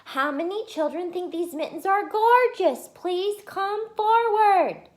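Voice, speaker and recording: A woman voicing an excitable, boastful little schoolgirl in a children's storybook, with childish grammar and gushing emphasis. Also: very high voice, slow, voice pushed up, full character voice. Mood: loud, excited bragging. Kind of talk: first-person children's storybook read-aloud.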